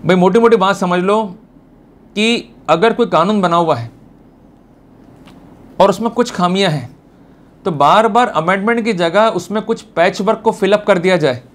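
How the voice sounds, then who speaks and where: High-pitched Indian man giving a law lecture. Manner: reads English quotations slowly and deliberately, then explains informally. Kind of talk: explanatory lecture mixing Hindi with English legal terms.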